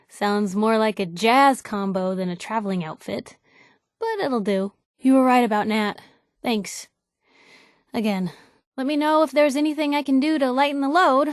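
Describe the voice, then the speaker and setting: A woman's voice: fairly high pitch, no room sound, studio quality, natural voice. A woman playing a spirited, sardonic young reporter in a post-apocalyptic video game. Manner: sardonic, teasing, plucky. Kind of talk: video game companion dialogue.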